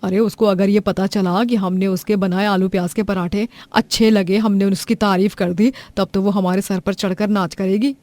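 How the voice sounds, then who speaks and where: A woman's voice: medium pitch, steady tone, moderate pace, hardly any pauses, a little mellow, no room sound, lightly acted. A woman voicing a harsh, scornful middle-aged mother-in-law who scolds with contempt. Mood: indignant.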